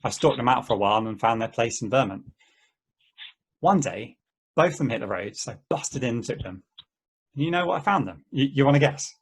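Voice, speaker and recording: A man playing an easygoing game player in an amateur table read, talking in a halting, conversational way. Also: medium pitch, lively intonation, husky, rough recording. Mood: reminiscing. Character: easygoing, rambling male player of an endless treasure-hunt game.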